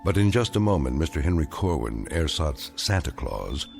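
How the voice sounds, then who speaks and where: A man narrating a radio drama as a measured, wry and ominous storyteller, deliberate in his delivery. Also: very deep voice, very animated, creaky, faint music behind, heavily acted. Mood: wry, portentous.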